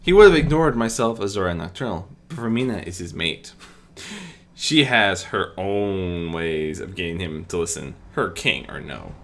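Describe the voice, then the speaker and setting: A narrator voicing a calm, motherly ancient goddess, lively pitch. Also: moderate pace, slight background noise. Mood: matter-of-fact. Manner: calm, formal, indulgently fond. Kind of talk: amateur audiobook character reading.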